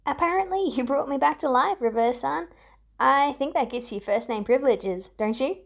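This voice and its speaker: A woman voicing a boyish, excitable young man with a teasing streak in a story reading. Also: high voice, normal speed, creaky, pitched above the performer's own voice, full character voice. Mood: amused.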